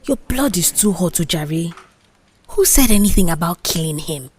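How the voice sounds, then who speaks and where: A woman voicing a bold, mischievous young office worker with a Nigerian accent, animated, dismissive and persuasive, in an audio drama. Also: fairly low pitch, moderate pace, a little hiss or hum, close to an everyday voice. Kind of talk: audio drama character dialogue.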